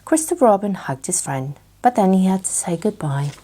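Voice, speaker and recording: A woman narrating a children's bedtime story as an expressive storyteller. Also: medium pitch, normal speed.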